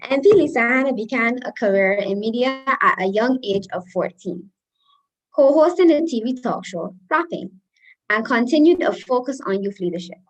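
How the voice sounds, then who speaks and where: A woman's voice: on the high side, expressive, moderate pace, rough recording. A teenage young woman with a Barbadian accent, a student moderator reading a formal biography aloud. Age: teens, secondary school student.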